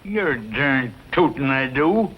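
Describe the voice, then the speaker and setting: A cantankerous old-West sidekick, folksy and grumbling, his pitch jumping all over as he talks in backcountry slang. The sound is a muffled old radio recording. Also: very animated, slow, husky, full character voice. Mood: defiant. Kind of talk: old-time radio western acting.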